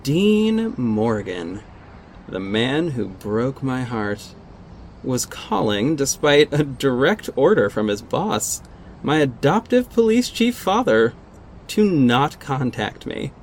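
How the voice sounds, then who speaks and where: Man acting sardonic costumed vigilante, audio drama, middle pitch, measured, terse, exasperated, faint background noise. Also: sing-song. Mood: wry, brooding. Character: guarded, sardonic costumed vigilante, heartbroken and stubborn. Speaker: narrator and lead actor. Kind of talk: first-person audio drama narration and dialogue.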